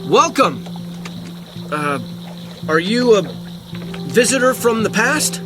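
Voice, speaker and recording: Man as an excitable, higher-pitched skeptical camper. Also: takes it slowly, over music, exaggerated voice. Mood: excited, alarmed. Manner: stammering, reactive. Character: excitable, skeptical man camping and filming a discovery. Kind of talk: audio drama acting.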